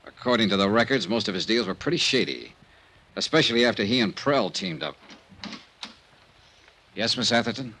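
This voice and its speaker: An actor playing a shrewd, world-weary investigator in an old time radio serial, clipped and matter-of-fact, narrating and questioning. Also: male voice, fairly low pitch, lively intonation, moderate pace, long pauses, pushed and bright, a little hiss or hum. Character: shrewd, world-weary male investigator who narrates his own case. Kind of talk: old time radio detective acting, part dialogue, part narration. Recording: old radio recording with music.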